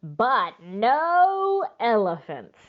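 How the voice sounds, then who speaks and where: A woman's voice: on the high side, huge pitch swings, extremely slow, lo-fi sound, voice pushed up, exaggerated voice. Woman acting firm, emphatic storybook grandma. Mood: reluctant, firm. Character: firm old grandma, reluctant but kindly. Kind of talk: children's storybook read-aloud.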